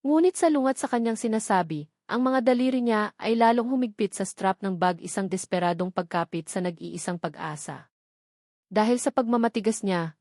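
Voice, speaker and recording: A woman's voice: medium pitch, darkish tone, dry recording, studio quality. A woman reading a scripted romantic drama story as its narrator, in a dramatic storytelling style.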